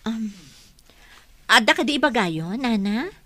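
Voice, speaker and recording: A woman's voice: on the high side, animated, a little slow, a bit bright, no room sound. A woman with an Ilocano accent playing a plucky, defensive young clerk who questions and talks back in a radio drama. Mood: confused, cheeky.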